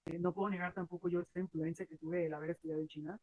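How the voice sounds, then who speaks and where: A man's voice: high voice, flat delivery, racing, dark tone, muffled recording. Earnest Mexican man in his thirties. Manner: earnest, rambling with many fillers. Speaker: guest. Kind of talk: reflective interview answers.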